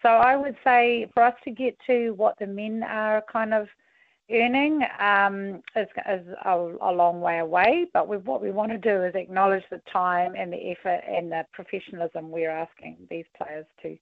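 A woman in her forties with a husky voice, a rugby union deputy chair giving relaxed, filler-heavy radio interview answers. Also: medium pitch, normal speed, no echo. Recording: tinny phone line.

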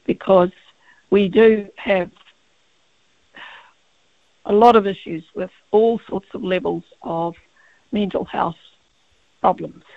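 A woman with a croaky, gravelly voice, a barrister speaking as a guest on a radio interview over the phone, halting with many fillers and restarts. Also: mid-range voice, fairly even intonation, dry recording. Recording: tinny phone line.

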